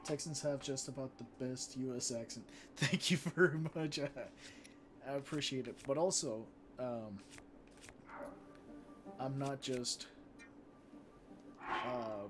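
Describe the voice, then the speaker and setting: A man's voice: mid-range voice, slow, long pauses, background noise. A man from Mexico, a Spanish speaker, chatting casually with viewers and reading out their comments while live-streaming a game. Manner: chatty, reads out viewer comments, frequent fillers and restarts. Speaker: live streamer and channel moderator. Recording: game music playing loudly underneath. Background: game music.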